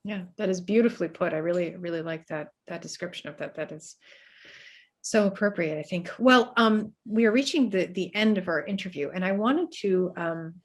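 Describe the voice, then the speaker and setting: A woman's voice: fairly low pitch, moderate pace. A woman hosting a podcast interview, chatting casually with agreeing remarks and fillers. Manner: warm agreeing, with laughs and fillers. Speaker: podcast host.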